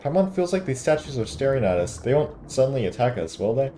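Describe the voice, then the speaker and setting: A man's voice: mid-range voice, sing-song, quick pace, dark tone, slight background noise, lightly acted. A man voicing a small, childish female fairy companion from a video game, timid and chatty, speaking of herself in the third person. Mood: worried. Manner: talks of self in third person.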